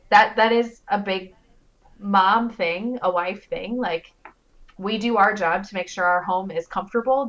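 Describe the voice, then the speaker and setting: A young adult woman, a guest in casual chat, talking in an expressive, self-mocking way. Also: medium pitch. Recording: dull lo-fi mic.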